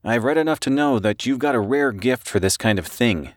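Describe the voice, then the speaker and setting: A man performs an audiobook reporter, nervous and eager to please. His voice is pushed up higher, with hurried, earnest, apologetic phrasing. The sound is close and very dry. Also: lively intonation, no room sound, close to an everyday voice. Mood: earnest, persuasive. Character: nervous, pleading male newspaper reporter on a phone call. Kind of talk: audiobook character dialogue.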